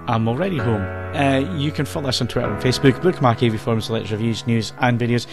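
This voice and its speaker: A man's voice: medium pitch, fast talker, gravelly, music in the background. A man hosting a podcast, chatting casually and rambling a little as he wraps up the episode. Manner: relaxed, chatty, rambling wrap-up. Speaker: podcast host. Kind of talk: casual chat.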